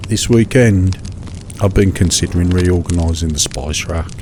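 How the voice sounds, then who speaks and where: Brit guy mumbling through a dull sleepy phone chat, really deep voice, crackly noise behind him. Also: normal speed. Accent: British. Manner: mundane, hesitant, rambling, soothing, repeats himself. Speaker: host of a sleep relaxation show. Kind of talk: monotonous one-sided phone chat for falling asleep. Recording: steady background noise, fireside crackle.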